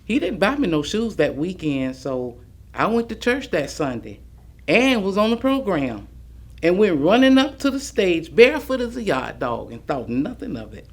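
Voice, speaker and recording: An actress as an elderly grandmother tells her life story on stage. Her pitch swings widely as she reminisces, folksy and reflective. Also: sing-song, normal speed, slightly rough, heavily acted. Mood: animated, wry. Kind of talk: dramatic staged reading of oral history.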